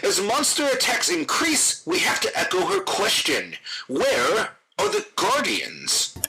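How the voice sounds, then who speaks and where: A man's voice: high voice, huge pitch swings, slow pace, noisy background, full character voice. A man playing a melodramatic local TV news anchor in a broadcast parody. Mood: newsy, dramatic.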